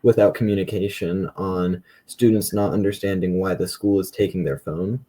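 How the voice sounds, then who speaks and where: A man's voice: low voice, fairly even intonation, moderate pace, mellow, husky. A young man speaking as a guest in a conversational panel discussion. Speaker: student school board member, podcast guest.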